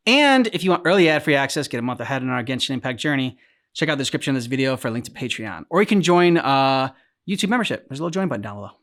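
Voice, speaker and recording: A woman's voice: a bass voice, expressive, very fast. A woman hosting a reaction video, chatting casually about a video game as she goes.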